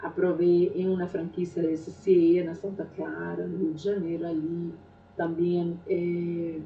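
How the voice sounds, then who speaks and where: Low-voiced Brazilian woman, roomy and distant. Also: flat delivery, vocal fry, faint music behind. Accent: Brazilian Portuguese. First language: Portuguese. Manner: unhurried, hesitant with frequent "eh" fillers. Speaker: Spanish teacher telling her life story. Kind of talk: reflective personal storytelling. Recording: roomy, distant, dull sound.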